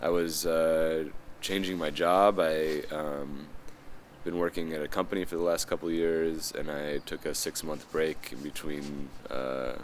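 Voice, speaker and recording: Very deep-voiced man in his thirties. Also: flat delivery, measured pace, a little hiss or hum. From United States. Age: 30s. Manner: hesitant, many fillers. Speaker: volunteer interviewee. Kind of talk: interview answers.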